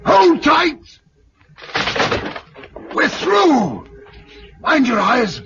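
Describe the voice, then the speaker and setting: An actor with a British accent playing a resolute, protective leader of survivors in a 1950s sci-fi radio drama. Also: male voice, very high-pitched, wildly swooping pitch, drawn-out words, over music, heavily acted. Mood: urgent, commanding. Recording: dull, noisy 1950s radio recording.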